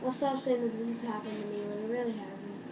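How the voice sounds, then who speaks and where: A young woman with a high, light voice, chatting through a reaction video. She cuts in with quick, joking remarks. The sound is roomy with clear noise behind her. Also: fairly even intonation. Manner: playful, jokey interjections. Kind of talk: casual reaction commentary.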